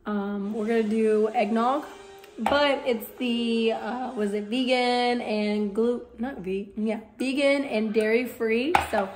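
A young woman talks to her camera from her home kitchen, her voice hoarse and congested from a cold. She rambles in a chatty way, full of likes and ums. It sounds like a relaxed video vlog. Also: a little high, slow, over music. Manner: chatty, rambling with many fillers. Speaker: vlogger. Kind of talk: casual vlog chat.

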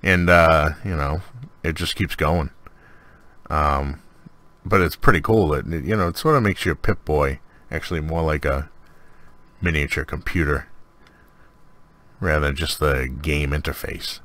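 Very deep-voiced man, casual game commentary. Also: a little slow, long pauses, fairly bright tone. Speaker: video host, mod reviewer.

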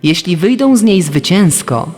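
A woman's voice: fairly low pitch, animated, a little slow, a little mellow, slightly rough, long reverb tail, faint music behind. A woman reading the part of a proud, cunning lake queen in a formal, commanding manner. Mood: challenging. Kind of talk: fairy-tale storytelling.

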